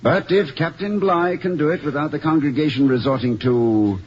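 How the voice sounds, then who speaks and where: An actor in an old-time radio comedy-drama playing a kindly, formal, slightly pompous college president who speaks in wordy, gently wry sentences. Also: male voice, on the high side, moderate pace, close to an everyday voice. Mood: wry, playful. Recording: muffled, noisy old radio recording.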